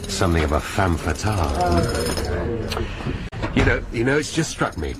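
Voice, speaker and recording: A dry, sardonic police inspector with a low voice that swings widely in pitch, now idle, now cutting. Steady noise hums behind him in this radio drama. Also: male voice, normal speed. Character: wry, weary older male police inspector.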